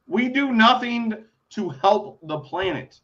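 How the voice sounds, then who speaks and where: Man, high-pitched, profane podcast co-host who argues bluntly; lo-fi audio. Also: lively intonation, slow, a bit bright. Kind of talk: crude casual chat. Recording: slightly dull lo-fi sound.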